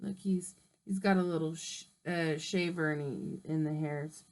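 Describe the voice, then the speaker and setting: A woman's voice: deep voice, steady tone, measured pace, a little mellow. A woman reading a picture book aloud in a teacherly way, pointing out the pictures. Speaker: reader.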